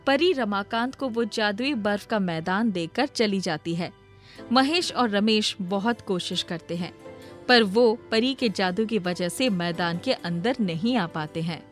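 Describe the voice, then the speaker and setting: A woman narrates a scripted moral tale. Her voice sits at a middle pitch, with music playing behind her. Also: measured pace.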